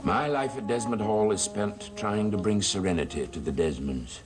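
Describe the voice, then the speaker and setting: Man acting a deep-voiced, courtly gothic gentleman. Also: moderate pace, lightly acted. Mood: unctuous. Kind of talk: 1960s gothic soap opera acting. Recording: old TV soundtrack, loud music.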